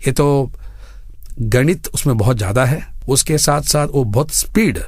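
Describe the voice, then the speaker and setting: A man in his fifties from India tells his own story as a studio interview guest, earnestly and in deliberate phrases with English words mixed in. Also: medium pitch, no room sound. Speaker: interview guest, bank general manager. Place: radio studio.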